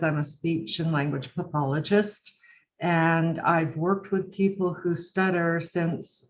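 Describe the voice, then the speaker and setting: A woman in her sixties, a speech-language pathologist, explaining her work in a friendly way. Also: on the low side. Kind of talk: conference presentation. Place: video call. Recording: dull lo-fi audio.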